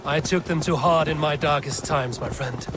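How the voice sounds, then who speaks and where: A man playing a calm, strategic Viking leader. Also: a little high, normal speed, heavy background noise, natural voice. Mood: measured. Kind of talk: video game voice acting. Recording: game audio with music behind.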